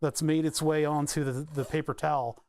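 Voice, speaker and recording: Brief: a rambling hobbyist streamer, a man with a slightly high voice, chatting at his workbench. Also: fairly even intonation, moderate pace, a little mellow, no echo. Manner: rambling and chatty, answers chat, breaks into laughs. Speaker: electronics streamer. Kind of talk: casual live-stream chat while building a circuit.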